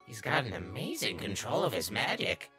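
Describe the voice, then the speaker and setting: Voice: mid-range voice, very animated, moderate pace, bright, forceful tone, faint music behind. A performer voicing a sly, obsessively curious little talking flower in a comic dub, brooding and easily exasperated. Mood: puzzled, scheming. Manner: brooding, scheming, bursting into exasperated outbursts. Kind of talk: comic dub character narration and dialogue.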